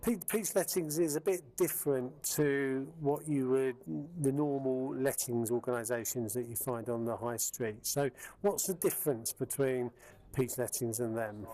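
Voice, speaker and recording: A man's voice: medium pitch, moderate pace, mellow, rough recording. A man hosting a casual business interview, asking rambling questions with frequent restarts. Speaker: interviewer, business club host. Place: hotel meeting room.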